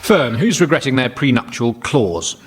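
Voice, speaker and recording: A man's voice: mid-range voice, sing-song, moderate pace, loud music behind the voice. A man with a posh English accent hosting a comedy panel show, politely exasperated and drily sarcastic as he delivers scripted satire. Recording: noisy live comedy recording, loud audience. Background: large laughing studio audience.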